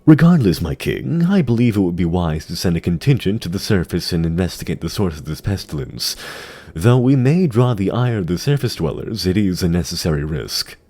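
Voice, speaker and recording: A man voicing a loyal insectoid commander, a calm and resolute soldier with measured, formal speech. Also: deep voice, exaggerated voice.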